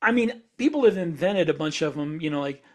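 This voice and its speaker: A man co-hosting a casual podcast, explaining in a halting way and repeating words as he works out his thoughts. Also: a little high, lively intonation. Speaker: podcast co-host and science fiction author.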